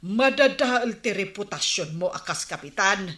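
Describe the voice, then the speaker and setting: A woman's voice: mid-range voice, expressive, moderate pace, lightly acted. A woman with an Ilocano accent playing a scolding, worried villager in a radio drama, emphatic and nagging. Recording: bright, echoey room.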